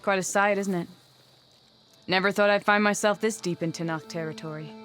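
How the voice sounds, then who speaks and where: A woman's voice: mid-range voice, steady tone, a little fast, fairly bright tone, lightly acted. An actress playing a proud young female hunter in a video game, speaking earnestly with spirited bursts. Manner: earnest and wistful, with spirited outbursts. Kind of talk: video game cutscene voice acting. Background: game music score.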